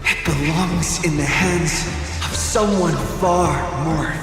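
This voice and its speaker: A man's voice: on the high side, steady tone, slow. An actor playing a solemn heroic young man in a video game, speaking to mortals in a grandiose, declamatory way. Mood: resolute. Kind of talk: dramatic game voice acting. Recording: cavernous reverb with loud music behind.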